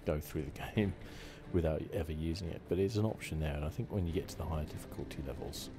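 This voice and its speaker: Male ASMR gaming host, very low voice, soft-spoken and unhurried, game music behind. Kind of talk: relaxed ASMR game playthrough commentary.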